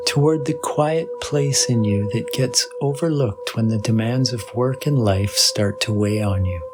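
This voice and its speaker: Male meditation guide, very slow pace, soothing and measured, long pauses, music playing underneath. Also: mid-range voice. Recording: voice over background music with singing bowl.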